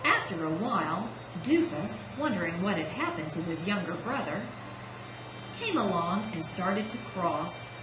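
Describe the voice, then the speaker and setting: A woman's voice: lowish voice, animated, unhurried. A woman narrating a children's story as a puppeteer. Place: indoor practice room. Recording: echoey room with clear noise.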